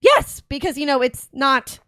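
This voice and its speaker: A woman's voice: sits high, big pitch swings, measured pace, fairly bright tone, no room sound, studio quality. A woman chatting casually as a panelist on a show. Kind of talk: casual chat.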